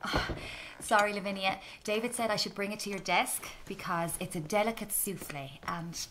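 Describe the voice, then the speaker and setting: An actress plays an awkward young woman in a radio drama. Her medium-pitched voice is tentative and understated, eager but unsure. A little noise sits behind her. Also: fairly even intonation, moderate pace, close to an everyday voice. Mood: awkward, apologetic. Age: young adult. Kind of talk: naturalistic radio drama acting.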